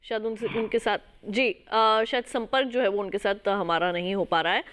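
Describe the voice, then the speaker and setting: A woman's voice: medium pitch, steady tone, normal speed, fairly bright tone. A woman hosting a health phone-in show, putting callers' questions to doctors with polite short acknowledgements. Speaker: host.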